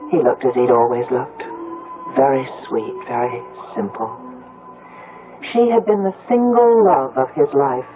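An actress playing a reflective, grieving grown daughter who tells her story in measured, literary style in a radio drama. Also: a bass voice. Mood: wistful. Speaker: lead actress and narrator. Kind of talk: 1970s radio drama acting. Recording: muffled phone-like old radio recording, music.

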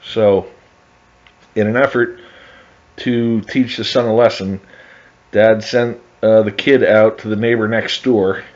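A middle-aged man narrating his own restoration project over photos in a casual, halting way, with many fillers and restarts. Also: on the low side, fairly even intonation. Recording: dull lo-fi voice-over.

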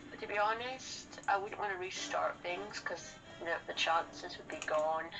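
A woman's voice: lowish voice, measured pace, low-quality recording. A woman co-hosting a gaming show, chatting casually in a rambling, slangy way and often repeating herself. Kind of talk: casual chat over gameplay. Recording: game music and TV sound behind. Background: video game music from a television.

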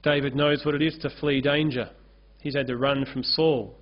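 A man's voice: medium pitch, steady tone, moderate pace. A man, preaching an earnest sermon at a lectern microphone on a church stage. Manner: earnest, gestures freely while preaching at a lectern. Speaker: pastor. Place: church stage with curtain backdrop. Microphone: lectern-mounted microphone. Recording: dull lo-fi sermon recording.

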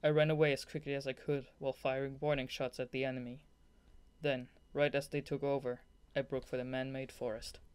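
Young narrator with a mid-pitched voice. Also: fairly quick, clean tone. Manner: giggly, excitable asides between read passages. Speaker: audiobook reader. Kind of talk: scripted novel narration with blooper asides.

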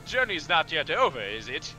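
A man's voice: fairly high pitch, sing-song, moderate pace, over music, voice pushed down. A man voicing a lecherous, guilt-tripping old villager in a hammy, pleading way for a game dub. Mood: nagging, pompous.

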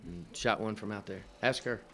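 A middle-aged man, a head coach, answering press questions after a game at a table mic, halting with many fillers and dry, matter-of-fact humor. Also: fairly low pitch, fairly bright tone, slight background noise. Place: press room in front of a sponsor backdrop. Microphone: table microphone.

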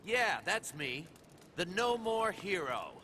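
An actor playing a brash, cocky young assassin antihero in a video game, blunt and curt. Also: male voice, high voice, big pitch swings, takes it slowly, sharp tone, exaggerated voice. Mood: cool, blunt. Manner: blunt, curt, swearing swagger. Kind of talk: English video game cutscene acting. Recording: game audio with clear background noise.

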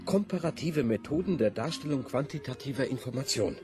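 A man acts out a pedantic male bureaucrat in a radio drama. He is earnest and prim, spelling things out at length with fussy precision. His mid-pitched voice rises and falls in lively swings. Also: a little fast, music in the background, lightly acted. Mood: flustered. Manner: fussy, precise, long-winded. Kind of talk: German radio play acting.

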